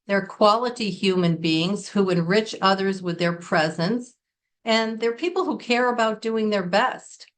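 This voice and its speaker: An American woman from Connecticut, middle-aged, talks through a business English lesson in a sincere, carefully paced way. Her voice sits at an ordinary middle pitch. She puts weight on important words as she explains. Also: unhurried. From Connecticut, United States. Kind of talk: scripted lesson to camera.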